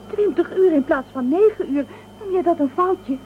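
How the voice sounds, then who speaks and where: An assertive, resourceful spacewoman with a high voice, urgent and tense, in a science fiction radio play. The recording has clear noise behind the voice. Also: expressive, normal speed, narrow, tinny audio. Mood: indignant, urgent. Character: tense, resourceful female space ferry crew member. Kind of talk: Dutch sci-fi radio drama acting.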